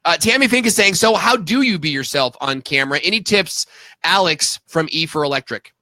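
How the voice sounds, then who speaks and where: A man's voice: on the high side, expressive, fairly quick, pushed and bright, no room sound. A man in his thirties co-hosting a live show in a casual, chatty panel style. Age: 30s.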